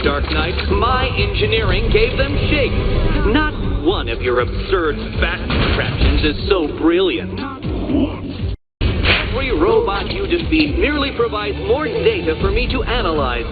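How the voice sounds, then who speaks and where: Arrogant riddle-obsessed game villain: slow, savoured taunts, hugely animated intonation, condescending and pompous. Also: male voice, on the high side. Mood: boastful. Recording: dull lo-fi sound under loud music.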